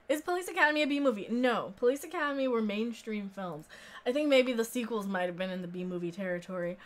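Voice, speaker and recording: A woman in her thirties with an American accent and a fairly high voice. She talks casually as a live stream host, rambling and informal, reading and answering comments from viewers. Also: fairly bright tone. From central United States. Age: 30s. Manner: rambling, informal, sweary, prone to laughing.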